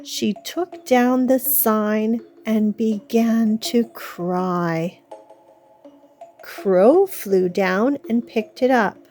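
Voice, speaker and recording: A woman reading a children's story aloud as an expressive, dramatic storyteller. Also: a little high, extremely slow, soft background music. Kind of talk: expressive read-aloud narration.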